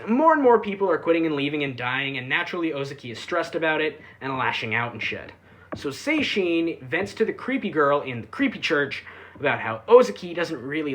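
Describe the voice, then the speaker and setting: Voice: medium pitch, pushed and bright. A reviewer giving a casual, sarcastic recap, full of offhand asides and swearing. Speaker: anime reviewer.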